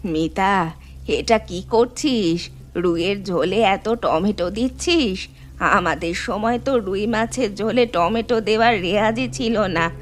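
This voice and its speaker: A woman's voice: medium pitch, a little hiss or hum, close to an everyday voice. A woman voicing an elderly Bengali mother-in-law in a cartoon, firm and traditional, fondly chiding and instructing. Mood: disapproving. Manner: unhurried, instructive, gently chiding. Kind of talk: animated moral story voice acting.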